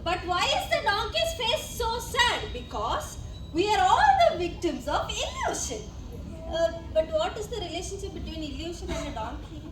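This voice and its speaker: A woman's voice: very high-pitched, sing-song, moderate pace. A woman with an Indian English accent playing a bombastic, scheming teacher in an amateur comic stage play, theatrical, declamatory and mock-solemn. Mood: pompous reasoning. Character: bombastic, scheming physics teacher running a sham oral exam. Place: college event stage, distant microphone. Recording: noisy echoey stage, far from mic.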